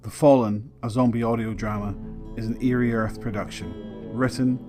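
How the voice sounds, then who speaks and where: A man's voice: mid-range voice, steady tone, normal speed, mellow, soft background music. A man reading scripted dramatic narration for an audio drama.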